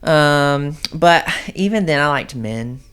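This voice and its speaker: A young man with a high-pitched voice telling his story as a podcast guest, chatty and candid with many ums and likes. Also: animated, slow, fairly bright tone, clear, resonant tone. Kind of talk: casual storytelling chat.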